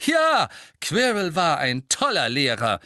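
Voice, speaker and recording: A man voicing an angry teenage schoolboy in an audiobook, loud, blunt and defiant. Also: fairly high pitch, huge pitch swings, takes it slowly, no room sound, clean studio sound, voice pushed up, heavily acted.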